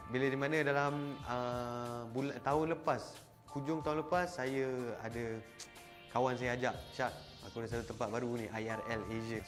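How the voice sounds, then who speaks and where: A man with a Malaysian accent, casually introducing himself and his esports crew work, dropping English words into his talk. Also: mid-range voice. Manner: chatty, mixing in English words. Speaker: esports event crew member. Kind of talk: casual self-introduction to camera.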